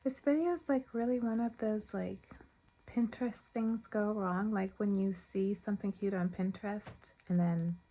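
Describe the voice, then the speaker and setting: A woman's voice: medium pitch, steady tone, moderate pace, mellow, low-quality recording. An American woman chatting casually to camera as a craft vlogger, informal and thinking aloud as she goes.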